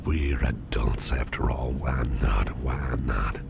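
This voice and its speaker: A man playing an attentive, easygoing businessman boss in a soft, breathy, whispery ASMR roleplay, unhurried and soothing, murmuring close to the microphone. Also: background noise, full character voice. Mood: warm, indulgent. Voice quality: very low, gravelly, breathy near-whisper. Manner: unhurried, soothing, indulgent, musing aloud.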